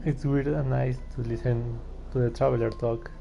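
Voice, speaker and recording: A man's voice: medium pitch, level tone, moderate pace, gravelly, lo-fi sound. A man giving casual gameplay commentary as a game streamer. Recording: game music behind the voice. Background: game music.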